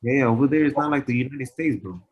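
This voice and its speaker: Husky slangy man, casual chat. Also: medium pitch, fast, highs cut off. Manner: enthusiastic, slangy, calls people bro.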